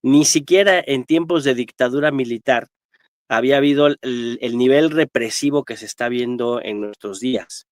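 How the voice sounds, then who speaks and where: A man with a Mexican accent and a mid-pitched voice offers spontaneous political analysis. His delivery is emphatic and militant, peppered with tag questions. The sound is very dry, with no room echo. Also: normal speed. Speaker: guest historian and political analyst.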